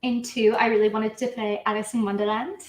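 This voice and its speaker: A woman from the American Midwest chats casually on a live stream, her voice sitting a little high. She laughs her way through many sentences. The sound carries a noticeable room echo. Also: little pitch movement, a little fast, a little mellow. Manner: giggly, talks through laughs. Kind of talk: casual chat.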